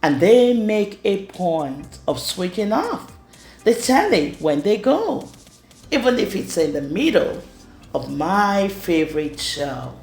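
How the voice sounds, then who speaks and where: A woman reading a rhyming children's storybook aloud in an expressive way. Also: medium pitch, slow pace. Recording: roomy echo with music underneath. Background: music.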